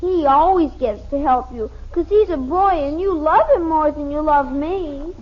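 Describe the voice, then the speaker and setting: A woman's voice: sits high, unhurried. An actress playing an eager, whiny little American girl, plaintive and literal-minded, in 1950s radio sitcom style. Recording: dull, lo-fi old radio recording.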